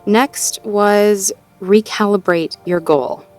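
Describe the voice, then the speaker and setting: A woman playing a sweet, supportive girlfriend in an intimate audio roleplay, talking in a gently upbeat, conversational way as she thinks aloud. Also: medium pitch, slow, soft background music, close to an everyday voice. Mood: thoughtful, supportive. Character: sweet, supportive girlfriend working through goals with partner.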